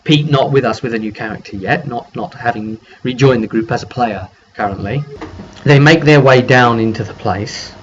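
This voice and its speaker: A man's voice: medium pitch, normal speed. A man gives an informal, rambling spoken recap of a tabletop game session as its game master, often repeating himself and trailing off. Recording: dull lo-fi mic with faint background noise.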